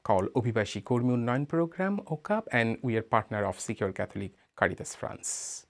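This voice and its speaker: A man from Bangladesh with a Bangladeshi accent, speaking as a migrant rights advocate in an interview. He talks in a measured, hesitant way with many "uh" fillers. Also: medium pitch, animated, creaky. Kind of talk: interview statement.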